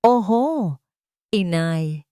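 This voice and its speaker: A meek, beaten-down wife from an audio melodrama, acted by a woman narrator. She pleads submissively with wavering pitch, recorded dry and close. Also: animated, very slow, warm tone, smooth, dry recording. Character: submissive young wife feigning defeat, secretly determined.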